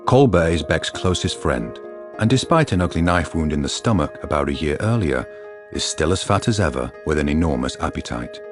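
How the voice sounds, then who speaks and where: A man's voice: rumbling low, animated, fairly quick. A man narrating a crime story in a composed, scripted radio drama style. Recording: music under the narration.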